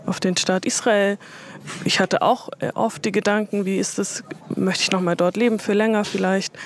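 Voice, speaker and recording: A woman from Germany, an interview guest who works as an educator, giving reflective, measured answers. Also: medium pitch, a little hiss or hum.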